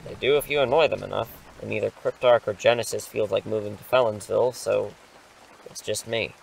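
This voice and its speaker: A man acting in an audio drama as an impulsive, excitable superhero mentor, rambling and reassuring, sheepish when challenged. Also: lowish voice, brisk, vocal fry, a little hiss or hum, poor audio. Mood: excited, chatty.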